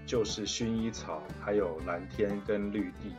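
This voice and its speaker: A man's voice: lowish voice, steady tone, unhurried. A middle-aged man, giving a voice-over narration for a travel vlog. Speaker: travel vlogger. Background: plucked guitar music.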